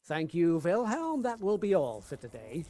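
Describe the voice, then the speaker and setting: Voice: mid-range voice, wildly swooping pitch, moderate pace, mellow. A performer playing an irritable, pompous mad scientist professor in a spoof B-movie radio comedy, with a dry, exasperated manner. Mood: deadpan, weary.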